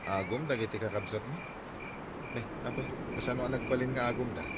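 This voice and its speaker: Guy doing boastful ilocano husband, medium pitch, hapless bluster, radio comedy with loud birdy noise. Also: fairly even intonation, a little fast, lightly acted. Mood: defensive. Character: hapless boastful husband claiming to have studied law. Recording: very noisy ambience with birdsong.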